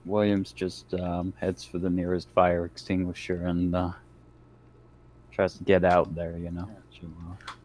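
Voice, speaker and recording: A man with a deep, low-pitched voice plays a tabletop game. He talks casually, like someone chatting with friends while working out his next move. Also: monotone, unhurried, a little mellow, slight background noise, poor audio.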